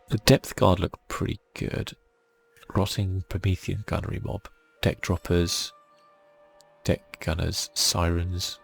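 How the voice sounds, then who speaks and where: A man hosting a relaxed ASMR video game playthrough, speaking softly and musing aloud as he goes. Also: sits low, vocal fry, breathy edge. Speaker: gaming channel host.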